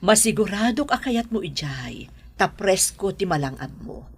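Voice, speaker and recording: A woman's voice: mid-range voice, lively intonation, normal speed, slightly rough. An actress playing a caring middle-aged mother in an Ilocano radio drama, with an Ilocano accent. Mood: gentle concern.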